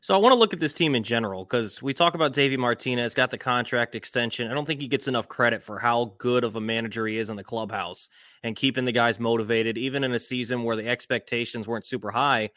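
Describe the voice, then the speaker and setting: A man with a mid-pitched voice, recorded dry and close. He hosts a sports podcast and sets up his questions conversationally. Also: fast talker, fairly bright tone, dry recording. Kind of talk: conversational interviewing.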